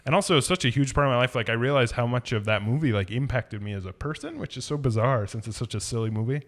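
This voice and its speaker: A man's voice: medium pitch, fast talker, a bit bright. A man co-hosting a podcast, giving his opinions on movies in an emphatic, informal and casually sweary chat style. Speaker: podcast co-host, movie reviewer.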